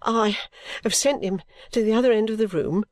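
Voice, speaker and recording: A woman voicing a sulky, overworked young woman who complains abruptly and breaks into pitched outbursts. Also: normal speed, no echo, close to an everyday voice. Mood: exasperated.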